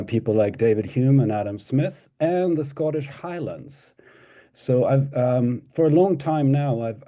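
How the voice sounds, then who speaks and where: A middle-aged Swedish-born man presents an academic talk over a video call. His voice is very dark and mellow, and he hesitates often with ums and restarts. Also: mid-range voice. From Sweden. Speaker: guest lecturer.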